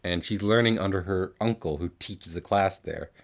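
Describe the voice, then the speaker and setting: Casting: young male podcast host with a low voice, chatty and rambling, loose with swearing. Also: moderate pace, creaky, slight background noise. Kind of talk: casual chatty recap of an animated series.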